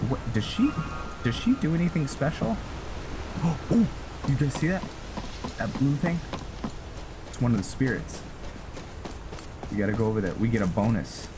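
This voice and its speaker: A man giving casual commentary on his roleplay game playthrough, halting with many fillers. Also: fairly low pitch, background noise. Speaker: roleplay gaming host. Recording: voice over loud game music.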